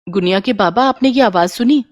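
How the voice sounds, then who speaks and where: A woman's voice: medium pitch, a little fast, dry recording, lo-fi sound, natural voice. A woman voicing a concerned village wife and mother in a storybook tale, urgent in manner. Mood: worried.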